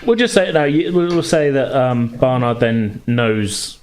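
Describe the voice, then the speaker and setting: A man's voice: medium pitch, lively intonation, measured pace, darkish tone. A man casually refereeing a tabletop game as game master, hesitant and easygoing as he thinks aloud.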